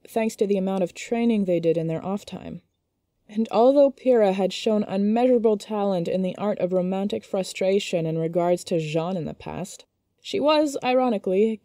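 A woman narrator reading a scripted story in an expressive, measured storytelling manner. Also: mid-range voice, no room sound, studio quality.

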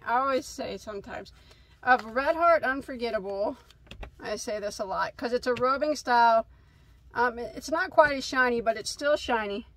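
Enthusiastic crochet host, a woman in her thirties from Illinois, fairly high voice, chatty and self-mocking. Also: a bit bright, rough recording. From Illinois, USA. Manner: chatty, enthusiastic, laughs at herself. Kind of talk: casual yarn haul chat. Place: craft room with yarn shelves, indoors.

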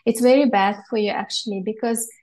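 A woman's voice: fairly high pitch, steady tone, brisk, a little mellow. Chatty Hungarian-born woman in her forties. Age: 40s. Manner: chatty, many fillers and restarts, laughs often. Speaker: interview guest. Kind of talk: casual video-call interview. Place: bright home room with shelves.

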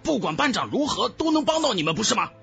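A man's voice: extremely high pitch, sing-song, fast, vocal fry, a bit bright, soft background music, voice pushed up, full character voice. A man voicing a loyal, indignant young male student in an audiobook, protesting earnestly.

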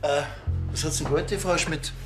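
A man's voice: a little high, steady tone, measured pace, over music, natural voice. An actor playing a grumpy, dry, exasperated small-town policeman with a Bavarian accent and a curt manner in a TV crime comedy. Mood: deadpan, curt.

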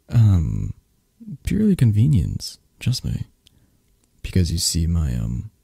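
A man playing a possessive, flirtatious mafia boss in an intimate ASMR romance roleplay, murmuring sleepily and teasingly with a breathy, husky voice. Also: low-pitched, warm tone, no echo, clean studio sound, heavily acted.

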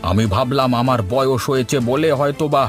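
A man acts out an elderly cartoon diner, indignant and let down. The voice is mid-pitched, with faint music underneath. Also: fairly even intonation, a little fast, natural voice. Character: elderly male hotel customer, indignant and let down. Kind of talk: Bengali cartoon dialogue.

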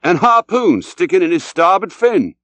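A man puts on a bluff, genial English whaling captain from a storybook. He spins his yarn heartily, with exclamations, a raised pitch and big swings of intonation. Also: big pitch swings, normal speed, fairly bright tone, dry recording. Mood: spirited storytelling.

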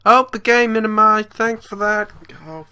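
A man's voice: sits high, level tone, unhurried, bright, forceful tone, clean tone. A man giving casual, improvised video game commentary, jokey and sweary, with bits of playful baby-talk. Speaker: let's play host.

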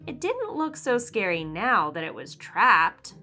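Animated, bright-voiced woman reading a storybook. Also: mid-range voice, soft background music. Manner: animated, deliberate storyteller delivery. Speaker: children's read-aloud host. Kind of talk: expressive scripted storybook narration for kids.

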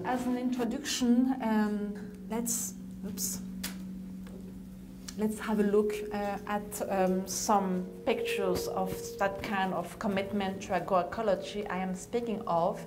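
A woman in her forties, not a native English speaker, giving a university lecture in a seminar room with many fillers and self-corrections. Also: medium pitch. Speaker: guest lecturer. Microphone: far room mic, then handheld mic. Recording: noisy room recording.

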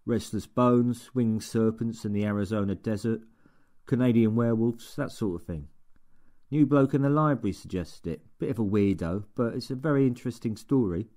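Earnest, simple young shop assistant, pitched far higher than the narrator's own deep voice, played by a male reader. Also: normal speed, mellow, close to an everyday voice. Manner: plain-spoken, earnest, gets defensive.

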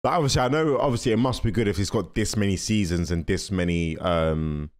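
A man's voice: deep voice, fairly quick, soft background music. A man in his twenties giving casual, rambling reaction commentary in slang, close on a desk microphone. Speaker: reaction video host. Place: small carpeted room indoors. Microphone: close desk microphone, headset on.

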